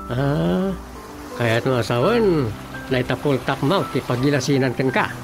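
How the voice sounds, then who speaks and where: A man's voice: mid-range voice, a little slow, close to an everyday voice. An actor playing a chatty, teasing village man with an Ilocano accent in a radio comedy-drama. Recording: prominent music under the dialogue.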